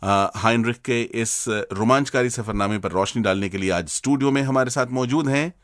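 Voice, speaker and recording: A man hosting a radio show, announcing in a clear, scripted way. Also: medium pitch, moderate pace, fairly bright tone, no echo, studio quality.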